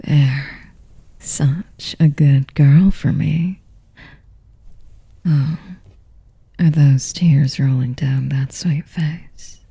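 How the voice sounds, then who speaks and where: A woman playing a sadistic, seductive vampire mistress in an intimate roleplay, whispering in commanding, condescending, cooing endearments with a husky, breathy voice. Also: steady tone, drawn-out words, pauses often, mellow, dry recording, heavily acted. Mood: mock-soothing. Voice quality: breathy, husky whisper with creaky low tones.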